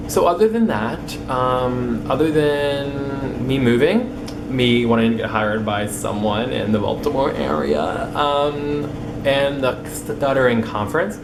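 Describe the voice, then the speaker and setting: Young man who stutters, low voice. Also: background noise. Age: young adult. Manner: stutters, with repeated starts and blocks on words. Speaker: vlogger. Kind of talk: casual chat to camera.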